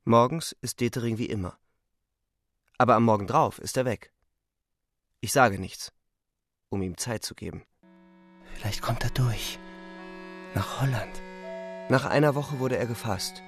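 An actor playing a young, weary front-line soldier who narrates a radio play in a sober, restrained, matter-of-fact way. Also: male voice, medium pitch, a little slow, long pauses. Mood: sober, resigned. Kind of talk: scripted radio drama narration. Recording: music faintly behind the voice. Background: music.

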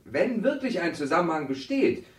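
Keen student in a radio play, highish lively voice, explaining, roomy sound. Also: male voice, moderate pace. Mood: confident. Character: Heinz, keen male student eager to propose ideas. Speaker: seminar student. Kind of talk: radio drama dialogue. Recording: roomy, slightly distant studio acoustic.

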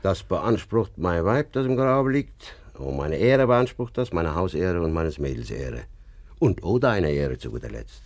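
A man's voice: very animated, unhurried, darkish tone, dry recording, studio quality, full character voice. An actor playing a proud, stern old village father in a radio play, with an emphatic, hot-tempered way of talking. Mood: indignant, stern. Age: older. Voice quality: husky, very deep. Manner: emphatic, agitated, swinging from muttering to outbursts. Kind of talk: naturalistic German radio play acting.

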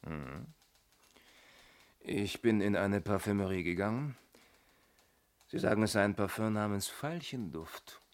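A man's voice: lowish voice, a little slow, pauses often, lightly acted. An actor playing a shrewd detective reporting to his inspector in a laconic, knowing way, in a 1970s radio play. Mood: wry, confident.

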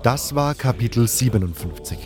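A man's voice: mid-range voice, expressive, moderate pace. Male storyteller over faint music. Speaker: narrator. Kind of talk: scripted first-person storytelling.

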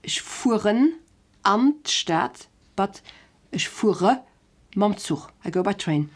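A woman presents a scripted language lesson with a husky, breathy voice at medium pitch. She is didactic and takes her time, laying out each example carefully word by word. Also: lively intonation, slow. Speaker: language teacher.

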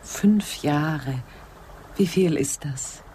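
A woman's voice: low voice, drawn-out words, warm tone, slight background noise, rough recording. An actress playing a reserved, wistful married woman out West, speaking politely and hesitantly in intimate radio drama dialogue.

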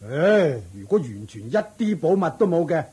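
A greedy, husky-voiced Cantonese lord. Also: male voice, a little high, sing-song, normal speed, dark tone, full character voice. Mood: haggling, dismissive. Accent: Hong Kong Cantonese. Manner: blustery, full of interjections, haggling. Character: greedy treasure-loving lord, father of a marriageable daughter. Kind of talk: Cantonese radio drama acting.

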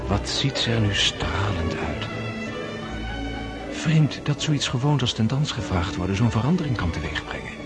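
An actor playing a courtly, world-weary prince in love, speaking formally and tenderly in a period radio drama. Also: male voice, on the low side, sing-song, normal speed. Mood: tender, musing. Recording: radio play with loud music behind. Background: loud music.

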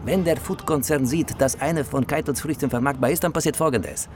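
A man's voice: a little high, fairly quick, slight background noise, close to an everyday voice. An actor playing a slick, rambling wheeler-dealer in a radio crime drama, peppering his talk with Spanish interjections. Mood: scheming, excited.

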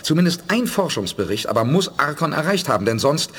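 An actor playing a calm, decisive male spaceship commander who reasons things through in a measured way, in a German radio play. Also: on the high side, husky, heavily acted. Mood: steady. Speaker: lead character.

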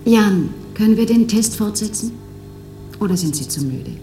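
Mellow female voice, actress as cool dutiful examiner, radio drama, echoing room. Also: medium pitch, lively intonation, normal speed, faint music behind, lightly acted. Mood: businesslike. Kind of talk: German radio play acting. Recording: roomy, echoing studio sound.

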